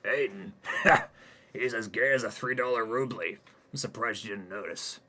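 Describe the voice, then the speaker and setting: A man's voice: lowish voice, measured pace, pushed and bright, pitched above the performer's own voice. A man playing a cocky, crude office alpha. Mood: boastful. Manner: boastful, crude, smug.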